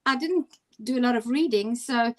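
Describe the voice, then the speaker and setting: A woman's voice: fairly high pitch, normal speed. A woman from South Africa with an Afrikaans accent, a teacher chatting casually on a video call and often restarting her sentences. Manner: hesitant, many restarts and fillers. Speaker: teacher and co-host.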